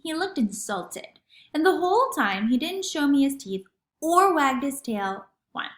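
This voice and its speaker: A woman reading a children's novel aloud as narrator, with expressive storybook delivery. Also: high voice, a little slow. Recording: echoey room.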